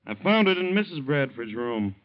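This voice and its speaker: Actor as plain-spoken deputy, muffled recording. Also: male voice, medium pitch, big pitch swings, normal speed, natural voice. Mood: matter-of-fact. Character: polite, matter-of-fact sheriff's deputy. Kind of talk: vintage American radio drama acting. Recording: dull lo-fi old radio recording.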